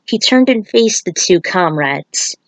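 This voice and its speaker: A woman's voice: lowish voice, lively intonation, slow pace, no room sound, low-quality recording. A woman narrating her own fan fiction, moving between casual recap and reading aloud.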